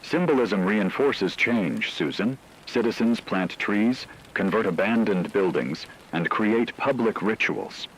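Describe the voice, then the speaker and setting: A man reading scripted radio news as an anchor, with a formal, measured delivery. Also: fairly low pitch, slight background noise, low-quality recording.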